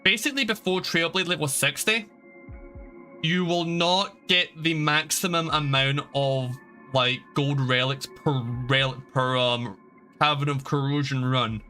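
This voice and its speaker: A young male game streamer with a bright, forceful voice, talking casually into a close mic. He rambles informally as he gives advice, with music playing faintly behind him. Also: a little high, fairly even intonation, a little slow. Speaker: video game livestreamer.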